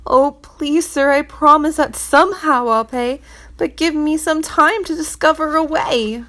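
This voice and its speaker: A woman voicing a greedy, cunning servant in a children's storybook reading, speaking high and forcefully. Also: measured pace. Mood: pleading. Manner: pleading then harsh and forceful.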